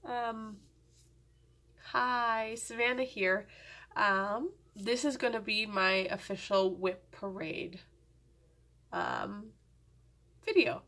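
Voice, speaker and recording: Slow, gravelly woman with a cold. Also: medium pitch, bright, forceful tone. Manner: rambling, many ums and restarts; drops to a near-whisper at times. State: sniffly with a cold. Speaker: cross-stitch vlogger. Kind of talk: casual chat to camera. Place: at home.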